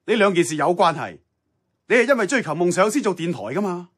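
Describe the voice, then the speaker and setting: An actor playing a blunt, seasoned radio station boss in a Cantonese radio drama, middle-aged, with a Hong Kong accent and a dry, challenging manner. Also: male voice, high voice, expressive, fast talker.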